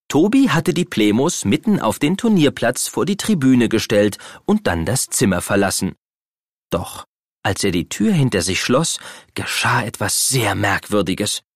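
A man narrating a children's audio play as an expressive, dramatic storyteller. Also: mid-range voice, no room sound, clean studio sound.

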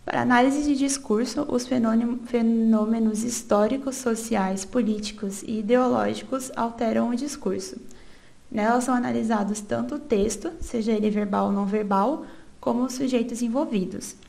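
A young woman from Brazil presents a student research project, reading steadily from her slides. Her voice sits a little high and has a strong, gravelly fry running through it. She stumbles now and then, restarts a word, and opens each new section with a short filler. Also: steady tone, normal speed, warm tone. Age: young adult. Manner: reads steadily from notes with small restarts and filler "é".

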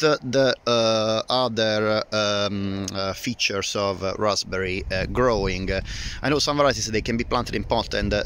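A man with a low, deep voice and a delivery talks through his allotment plants. He hesitates often, filling gaps and restarting sentences. He records outdoors on a handheld phone, with faint outdoor ambience behind him. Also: a bit bright, slight background noise. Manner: hesitant, many fillers and restarts. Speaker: gardening vlogger. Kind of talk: casual walkthrough vlog. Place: outdoor allotment among fruit canes.

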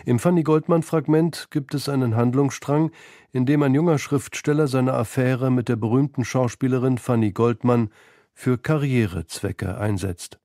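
A man reading scripted documentary narration for a radio feature in a measured, sober, literate manner. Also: mid-range voice, mellow, dry recording, clean studio sound.

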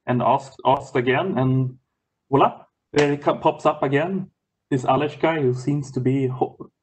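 Swedish guy talking english on a video call, keeps restarting his sentences, mid pitch. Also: a little mellow, poor audio. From Sweden. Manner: halting, many restarts and repeated words. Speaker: guest lecturer.

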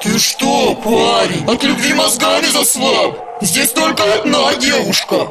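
A man voicing an irritable, vain she-dragon in a cartoon, grumpy and given to shouting. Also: extremely high pitch, lively intonation, a little slow, exaggerated voice. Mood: irritated. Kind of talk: animated cartoon acting. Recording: loud music behind the voice.

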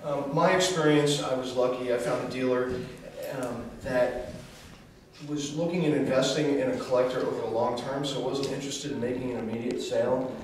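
Measured man in a hissy, echoey room. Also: mid-range voice, fairly even intonation. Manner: measured, reflective. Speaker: print collector on a panel. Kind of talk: casual panel discussion. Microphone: far camera. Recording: echoey room, far off, audible hiss.

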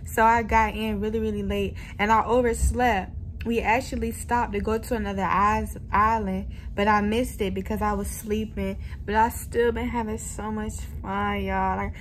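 A woman's voice: medium pitch, slight background noise. Chatty young woman vlogging by phone. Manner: chatty, addresses viewers as y'all, relaxed and upbeat. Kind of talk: casual vlog chat to camera. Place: cruise ship cabin, indoors. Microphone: phone held close at arm's length.

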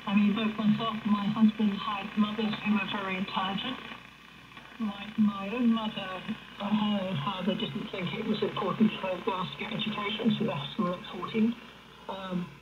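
A woman's voice: medium pitch, level tone, normal speed. Woman on muffled, noisy shortwave radio. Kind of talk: conversational radio discussion.

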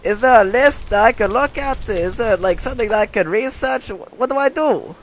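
Male performer voicing a zany, squeaky-voiced cooking host; rambles, trips over words. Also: fairly quick. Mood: flustered. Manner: rambling, silly, self-interrupting. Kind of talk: comedic game-video voice acting.

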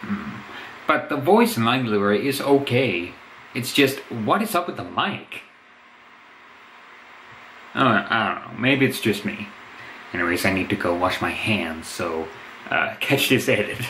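A man's voice: on the low side, animated, measured pace, long pauses, a bit bright, a little hiss or hum, rough recording. A young man giving casual commentary as the host of a reaction video, recorded in a room at home. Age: young adult.

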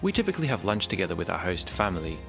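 A young man narrating a vlog in a casual, relaxed, informal way, explaining things as he goes. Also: sits low, rapid-fire, vocal fry. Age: young adult. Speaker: vlogger. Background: music.

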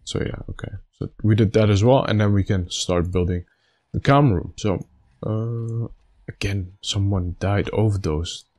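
Deep-voiced man with a stuffy nose. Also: measured pace, darkish tone. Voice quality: slightly raspy. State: stuffy nose after being sick. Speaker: gaming video host. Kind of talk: casual gameplay commentary.

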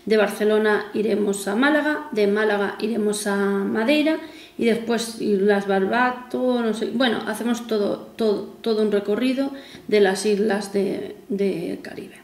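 A woman's voice: mid-range voice, normal speed, a little mellow. A woman, chatting casually to camera in a rambling way with self-mocking asides. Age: 40s. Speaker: vlogger. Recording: echoey room with faint music.

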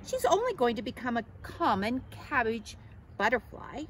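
A woman's voice: fairly high pitch, huge pitch swings, normal speed, a bit bright, a little hiss or hum. A woman voicing a scornful, conceited female caterpillar in a storybook, sneering and complaining. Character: scornful, conceited young caterpillar who whines and mocks.

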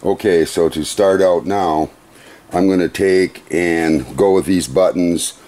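Middle-aged guy in a workshop, real low voice, takes his time explaining each button. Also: warm tone. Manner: unhurried, methodical, plain-spoken with small restarts. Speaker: CNC machine demonstrator. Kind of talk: hands-on equipment demonstration. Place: workshop beside a CNC router.

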